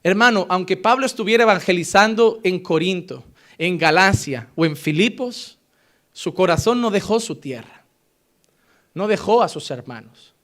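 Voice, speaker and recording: A man from Spain preaching a sermon with a Spain Spanish accent, switching between forceful emphasis and quiet, earnest passages. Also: high voice, expressive, normal speed.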